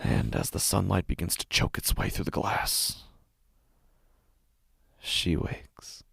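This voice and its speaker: A man playing an anguished, sleepless, guilt-ridden father in a horror audio drama, halting and breathy, swinging between hushed and intense delivery. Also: deep voice, fairly even intonation, no room sound, clean studio sound, heavily acted. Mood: weary, bitter. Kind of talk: dramatic horror audio narration in first person.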